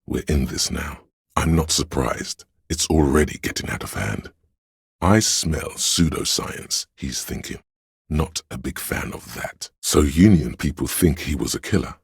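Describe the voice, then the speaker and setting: A man playing a solemn, all-knowing inner-voice narrator in a video game, with a gravelly, husky voice and a measured, literary delivery. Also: very deep voice, dry recording, studio quality, exaggerated voice. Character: omniscient, solemn inner-voice narrator of police camaraderie. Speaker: voice actor narrating a character's inner voice.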